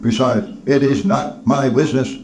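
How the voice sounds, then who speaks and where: Guy doing grumpy stingy old businessman, storybook villain type, curt n sneering, mellow tone, bit of background noise. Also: medium pitch, fairly even intonation, normal speed, close to an everyday voice. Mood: cold, dismissive. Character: sour, miserly, cold-hearted old businessman.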